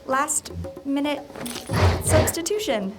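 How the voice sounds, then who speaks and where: A woman's voice: sits high, sing-song, slow, loud music behind the voice, exaggerated voice. A woman playing a flustered, apologetic impostor rider in an audio drama. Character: flustered woman posing as a masked race rider.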